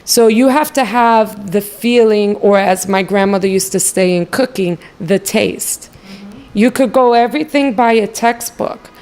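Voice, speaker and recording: A woman's voice: mid-range voice, unhurried, a little mellow. A woman from New City, giving long, confident interview answers as a real estate event organizer. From New York City. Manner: confident, expansive, speaks in long lists.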